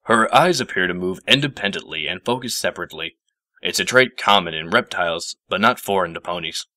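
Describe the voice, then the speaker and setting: A man playing a gruff, pompous older male professor who speaks formally and gravely. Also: deep voice, expressive, brisk, pushed and bright, no echo, studio quality, exaggerated voice.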